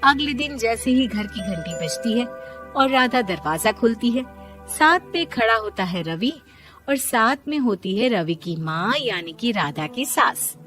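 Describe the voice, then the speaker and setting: A woman narrates a scripted tale as storyteller. Her voice sits fairly high, and music plays softly behind her. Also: expressive, unhurried.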